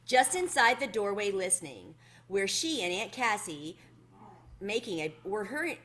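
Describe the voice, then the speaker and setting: A woman reading a children's story aloud as a host, in an expressive, plainly addressed storytelling manner. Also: medium pitch, a little hiss or hum.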